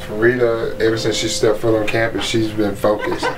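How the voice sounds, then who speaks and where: A man's voice: on the low side, completely flat delivery, moderate pace. A man on a school's staff making casual remarks in an office. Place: small school office, indoors. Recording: noisy office, room camera pickup.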